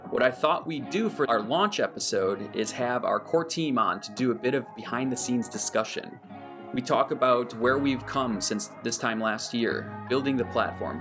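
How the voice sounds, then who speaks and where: Man narrating over clear guitar music. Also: medium pitch. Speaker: podcast host. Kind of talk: scripted narration and podcast hosting.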